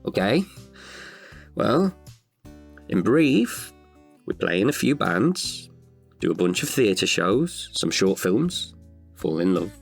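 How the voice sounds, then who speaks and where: A man's voice: deep voice, very animated, takes it slowly, vocal fry, long pauses, breathy edge, faint music behind, exaggerated voice. A man in his thirties performing an intimate, chatty monologue as an older, wiser self tenderly addressing his past self. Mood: wry, fond. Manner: chatty, wry, heartfelt. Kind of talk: intimate confessional audio monologue.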